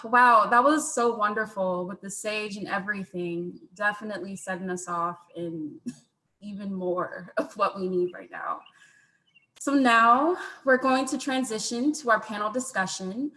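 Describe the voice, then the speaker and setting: A woman hosting a launch event, gushing and laughing as she hands over to the panel. Her voice is bright and forceful, at a middle pitch. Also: poor audio. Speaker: event emcee.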